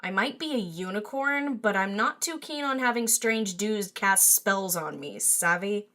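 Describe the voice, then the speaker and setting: Woman playing a bright, brash young unicorn DJ. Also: mid-range voice, lively intonation, a little slow. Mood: defiant, sassy. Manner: cheeky, slangy, defiant. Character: brash, sarcastic young female unicorn party DJ.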